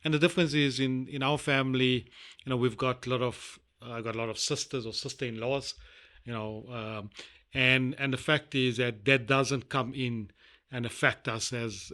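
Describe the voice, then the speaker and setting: A middle-aged South African man being interviewed, talking in a rambling, halting way with many fillers. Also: mid-range voice, steady tone, pushed and bright. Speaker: guest. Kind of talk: casual interview chat.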